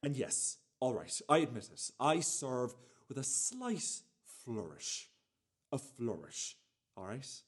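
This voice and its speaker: A man acting a defensive, fussy home cook in a radio play monologue, emphatic and repetitive, with a husky voice. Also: medium pitch, very animated, slow pace, exaggerated voice. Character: defensive, fussy man boasting about his cooking.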